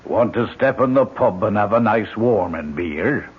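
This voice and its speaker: A man's voice: lowish voice, animated, unhurried, breathy edge, dull audio. A man playing a chatty, jovial village tradesman in a 1970s radio drama, folksy and deferential, in short clipped phrases.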